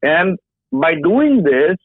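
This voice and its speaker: A man's voice: high voice, big pitch swings, creaky, no echo. Older man on a tinny phone line. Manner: measured, halting explainer. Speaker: HR industry guest. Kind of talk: phone interview.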